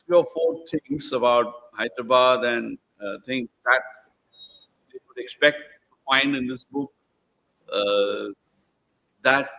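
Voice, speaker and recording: A man's voice: medium pitch, sharp tone, poor audio. Indian-accented man, a discursive panel moderator. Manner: digressive, halting, fond of lists. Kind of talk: conversational, anecdotal panel moderation. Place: live event before a small audience.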